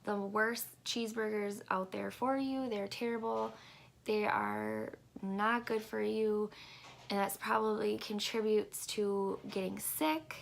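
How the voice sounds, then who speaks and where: A woman's voice: mid-range voice, slow, vocal fry, slight background noise. A woman vlogger giving a casual update, congested and rambling with lots of hesitant ums. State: congested, stuffy nose. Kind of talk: casual pregnancy update vlog.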